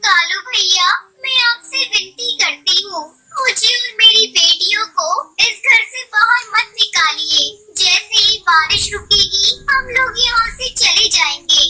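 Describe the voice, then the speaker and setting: Woman as very high-pitched fretful mother bird. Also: slow, few pauses, sharp tone, a little hiss or hum, exaggerated voice, low-quality recording. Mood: pleading. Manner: plaintive, fretful, scolding. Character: anxious, protective mother bird, a widow raising daughters. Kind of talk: Hindi children's cartoon voice acting.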